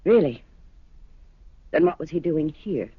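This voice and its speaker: An actress playing a hurt, determined middle-aged wife in a radio drama. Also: female voice, low voice, animated, a little slow, slightly rough, no echo, full character voice. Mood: guarded, weary. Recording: dull vintage radio recording.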